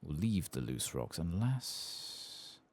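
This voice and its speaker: A soft-spoken man murmuring relaxed ASMR commentary to himself as he plays a game. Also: sits low, takes it slowly. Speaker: game streamer. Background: keyboard typing and clicking.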